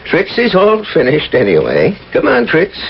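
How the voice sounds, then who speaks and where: A man's voice: a little high, wildly swooping pitch, moderate pace, gravelly, exaggerated voice. An actor playing a folksy, grumbling man who talks fondly to his dog in a radio drama. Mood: huffy, coaxing. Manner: plain folksy grammar, swooping between grumble and coaxing. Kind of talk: 1980s radio drama acting. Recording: muffled, noisy old radio broadcast.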